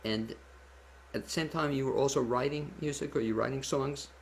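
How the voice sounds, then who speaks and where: A man with a gravelly voice hosting a talk show and putting conversational questions to a guest. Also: medium pitch, normal speed, crowd noise. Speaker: talk show host, interviewer. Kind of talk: conversational interviewing. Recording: roomy echo, background noise.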